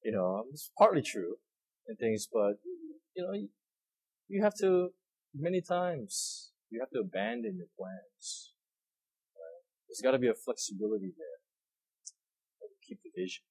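Male pastor, husky, animated pitch, rambling conversational sermon full of fillers. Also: unhurried, pauses often, rough recording. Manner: rambling, many fillers and repeated words, asks the audience questions.

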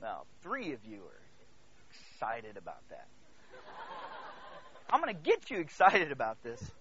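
A man's voice: medium pitch, huge pitch swings, measured pace, a little hiss or hum. A man from the Congo preaching to a congregation in an emphatic way, repeating phrases and checking in with his listeners, on slightly dull audio. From Congo, Central Africa. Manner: emphatic, swings between hushed asides and loud declarations. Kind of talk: animated sermon to a congregation.

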